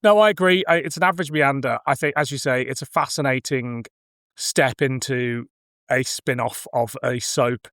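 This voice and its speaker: A man's voice: medium pitch, normal speed, a bit bright, dry recording, clean studio sound. A man hosting a casual conversation, giving his opinions on films in an everyday conversational style. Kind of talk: casual film discussion.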